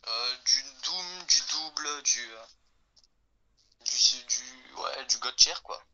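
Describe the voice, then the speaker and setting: A man's voice: medium pitch, takes it slowly, highs cut off, crowd noise. A man giving short, hesitant replies in a casual gaming chat. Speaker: guest player.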